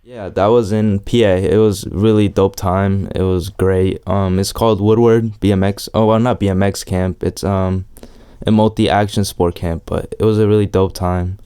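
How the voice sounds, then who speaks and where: A man's voice: low voice, little pitch movement, moderate pace, warm tone, clear, resonant tone. A young man from El Salvador who lives in New York, chatting casually as an interviewee in an easygoing, slangy, hedging way. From El Salvador, long resident in New York. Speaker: interviewee, amateur BMX rider. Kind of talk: casual chat.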